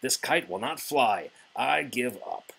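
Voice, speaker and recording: Man voicing a whiny, lazy toad with wild pitch swings. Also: expressive, slow, creaky, fairly bright tone, breathy edge, exaggerated voice. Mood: discouraged. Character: gloomy, lazy, whining male toad in children's story.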